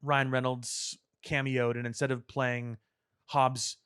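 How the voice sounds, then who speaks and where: A man co-hosting a podcast, talking pop culture casually in a measured, explanatory way with dry understatement. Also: medium pitch, level tone, fairly bright tone, smooth. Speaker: podcast co-host. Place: podcast studio.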